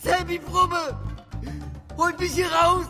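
An actor playing a terrified, whining cowardly burglar who whimpers and pleads in a children's radio play. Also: male voice, extremely high pitch, flat delivery, slow, gravelly, music in the background, exaggerated voice. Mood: panicked pleading. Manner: whimpering, shrieking.

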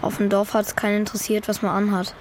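Female actor as a guarded schoolboy in a kids radio play, mid pitch, short flat answers, shrugs stuff off. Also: fast, a little hiss or hum, close to an everyday voice. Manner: terse, matter-of-fact. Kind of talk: children's radio play acting.

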